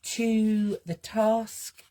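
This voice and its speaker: A woman with a gentle, husky, slightly strained voice, musing aloud slowly with pauses as she hosts a reflective creative session while drawing. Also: mid-range voice, level tone. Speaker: host of a creative healing art session.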